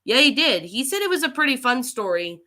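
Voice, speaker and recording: A woman with a cold, chatting casually as a livestream host and answering viewers in a halting way. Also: high voice, big pitch swings, pushed and bright. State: getting over a cold with allergies. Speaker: livestream host and creepypasta narrator.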